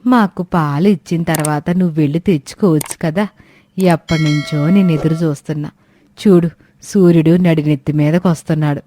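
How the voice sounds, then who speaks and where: A woman's voice: deep voice, fairly even intonation, fairly quick, mellow, voice pushed down. A woman voicing a complaining, demanding old village woman customer in a storybook tale. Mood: impatient. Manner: complaining, argumentative. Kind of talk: acted dialogue in a moral fairy tale.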